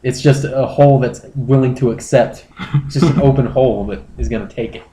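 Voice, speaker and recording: A man's voice: mid-range voice, fairly even intonation, a little fast, warm tone. A man hosting a comedy podcast in casual banter, with a mock-serious, profane manner. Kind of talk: casual banter and readings of internet posts. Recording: roomy echo, clear background noise.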